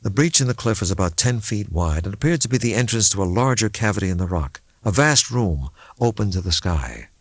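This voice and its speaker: Gravelly, deep male audiobook narrator. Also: lively intonation, brisk, hardly any pauses, no echo. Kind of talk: dramatic scripted narration of a suspense novel.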